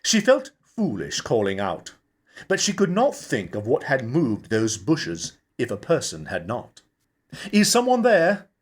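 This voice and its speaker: Guy reading a novel out loud, dramatic and deliberate, pitch moves around a lot. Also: slightly rough. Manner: expressive, deliberate storytelling. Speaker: actor reading a novel chapter. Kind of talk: dramatic scripted audiobook narration.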